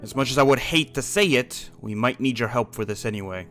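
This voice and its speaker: A man's voice: mid-range voice, brisk, fairly bright tone, soft background music, close to an everyday voice. A man playing an earnest dragon slayer in an improvised fantasy game roleplay, explaining things in a serious way. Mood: earnest, grave.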